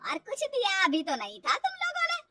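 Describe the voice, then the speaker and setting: Shrill, rude older auntie played by a woman. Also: huge pitch swings, moderate pace, pushed and bright, faint music behind, heavily acted. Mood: scornful, angry. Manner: brusque, scornful.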